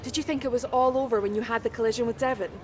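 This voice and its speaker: An actress playing a brisk, professional female motorsport interviewer in a video game. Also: on the high side, steady tone, close to an everyday voice. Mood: inquisitive. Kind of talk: scripted post-race interview in a video game.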